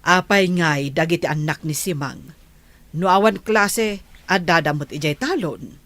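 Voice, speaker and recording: A woman's voice: fairly low pitch, unhurried, a bit bright. A woman playing a meddling, suspicious elder-sister figure in a village radio comedy drama. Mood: reproachful.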